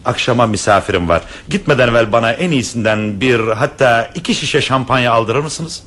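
A man's voice: mid-range voice, fairly quick, fairly bright tone, lightly acted. An actor playing a hesitant middle-aged professor and father in a radio play, stammering with shyness. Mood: earnest. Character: flustered middle-aged male professor, shy suitor.

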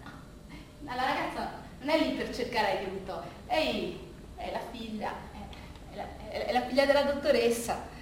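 A woman performing a theatrical monologue, telling a story dramatically with halting repetitions. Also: fairly high pitch, lively intonation. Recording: very echoey room with faint noise.

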